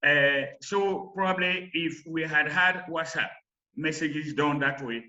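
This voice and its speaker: A man from Sierra Leone with a Sierra Leonean accent, presenting recommendations in a halting, emphatic lecture style over lo-fi call audio. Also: fairly high pitch, pushed and bright. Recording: dull, lo-fi online call audio.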